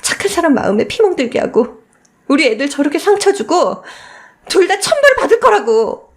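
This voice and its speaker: A woman's voice: very high voice, very animated, moderate pace, voice pushed up, full character voice. A woman playing a defiant, fed-up middle-aged wife and protective mother, scolding in a radio story. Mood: furious.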